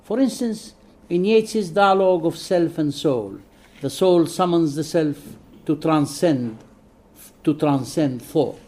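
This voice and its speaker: A man whose first language is not English, reading a prepared scholarly lecture in a measured way with soft hesitations. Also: on the high side, animated.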